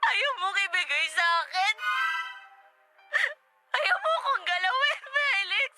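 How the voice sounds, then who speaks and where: A woman's voice: extremely high pitch, sing-song, slow pace, music in the background, exaggerated voice. A woman with a Filipino accent playing a hurt, hot-tempered young girlfriend in a melodramatic Tagalog radio drama. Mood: anguished rage. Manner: melodramatic, emphatic, repeats phrases.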